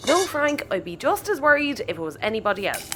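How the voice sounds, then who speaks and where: High, swooping, petulant Irish crewmate. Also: female voice, big pitch swings, fairly quick, background noise. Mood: fretful. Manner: petulant, rambling, informal. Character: quirky, childish, defiant young female crew member. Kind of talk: audio sitcom acting.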